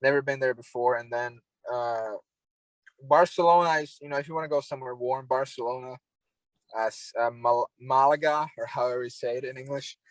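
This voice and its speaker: A man's voice: medium pitch, fairly even intonation, fairly quick, dry recording, rough recording. A young man from Finland with a Finnish accent, chatting casually as a podcast guest, laid-back and agreeable, with lots of "you know.". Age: young adult. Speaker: podcast guest, hockey prospect. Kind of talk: casual interview chat. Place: home in Finland.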